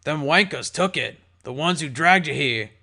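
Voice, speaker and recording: A man voicing a decaying but cheerful ghoul pony bartender who talks with a gravelly rasp. His tone is bright and forward. He is easygoing and addresses everyone as mate. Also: on the high side, animated, normal speed, full character voice. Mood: friendly. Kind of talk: audiobook character voice.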